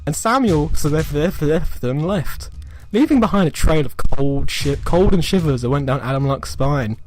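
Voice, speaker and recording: A man with a slightly high voice reads amateur fiction aloud over music. He delivers it as a mock-serious dramatic reading, stretching certain phrases for effect and tripping over others. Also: expressive, normal speed, darkish tone. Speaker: reader of amateur fan fiction. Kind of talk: comic dramatic reading of a written story.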